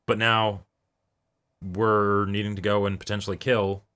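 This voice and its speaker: A man with a somewhat low, bright and forceful voice gives casual commentary as a co-host. He works through his points in a thoughtful, halting way, often checking that his listener agrees. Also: little pitch movement, clear, resonant tone. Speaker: co-host of an anime reaction show.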